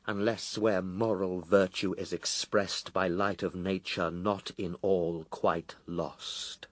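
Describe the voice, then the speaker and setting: A man's voice: sits low, level tone, takes it slowly, a bit bright, no room sound. An actor playing a solemn, righteous Son of God in a radio verse drama, grave, assured and declamatory in his rebukes. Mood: firm, measured.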